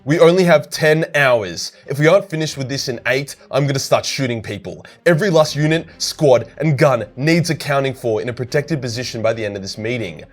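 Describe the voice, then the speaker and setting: A man acting a gruff military commander, decisive, authoritative, clipped orders, medium pitch, audiobook war story, music underneath. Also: a little fast, few pauses, lightly acted. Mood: stern, urgent. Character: commanding male major general, gruff, decisive military officer. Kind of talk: dramatised storytelling.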